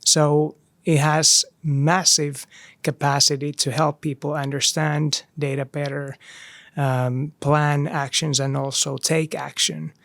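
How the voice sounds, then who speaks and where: Gravelly man explaining his business. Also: on the high side, flat delivery, slow, a bit bright, no echo. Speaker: startup CEO guest. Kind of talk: podcast introduction.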